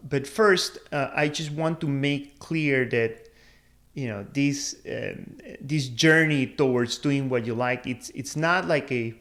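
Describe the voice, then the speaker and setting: A man in his thirties, raised in Peru, shares his career story to camera in a relaxed, personal way. His voice sits in an ordinary middle range. He pauses often, restarts phrases and leans on fillers while he thinks aloud. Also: mid-range voice. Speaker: career advice video host. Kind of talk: casual personal talk to camera.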